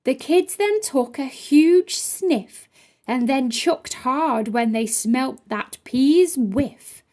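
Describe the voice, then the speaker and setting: High, mellow woman reading a children's story. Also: expressive, slow pace. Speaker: storytime reader. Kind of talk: children's picture book read-aloud in rhyme.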